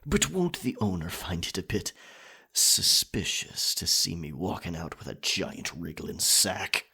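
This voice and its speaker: Deep, gravelly, sly pirate captain. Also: male voice, big pitch swings, bright, forceful tone, exaggerated voice. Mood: sly, conspiring. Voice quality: raspy, gravelly. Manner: slow, deliberate, theatrical pirate talk. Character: dominant male pirate captain, sly, menacing, amused. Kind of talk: roleplay ASMR.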